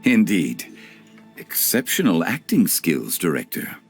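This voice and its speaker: Deep, gravelly, dignified consultant. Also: male voice, very animated, a little slow, over music, heavily acted. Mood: dry. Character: composed, dignified, dryly courteous male consultant. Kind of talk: video game cutscene voice acting.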